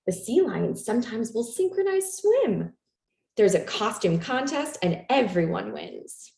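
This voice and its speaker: A woman reading a children's picture book aloud in an expressive, animated storytelling manner for young listeners. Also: a little high, unhurried, gravelly. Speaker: storytime reader. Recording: roomy, echoey room sound.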